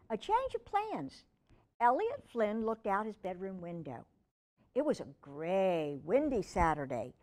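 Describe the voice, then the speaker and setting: A woman's voice: medium pitch, darkish tone. A woman reading a children's book aloud expressively, with chatty asides to young listeners and a pet. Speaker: children's storytime reader.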